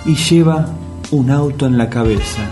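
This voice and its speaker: Actor as an upbeat Buenos Aires buddy over music. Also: male voice, mid-range voice, moderate pace, close to an everyday voice. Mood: excited. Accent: Buenos Aires Argentine. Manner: colloquial, coaxing. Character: upbeat, placating café buddy, enthusiastic man. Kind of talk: comic radio play dialogue.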